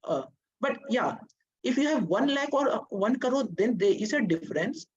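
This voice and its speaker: A woman's voice: fairly high pitch, very animated, moderate pace, a little mellow, slightly rough, highs cut off. A young woman, giving earnest, hesitant advice in a casual interview. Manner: earnest, hesitant, many fillers and restarts. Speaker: interview guest.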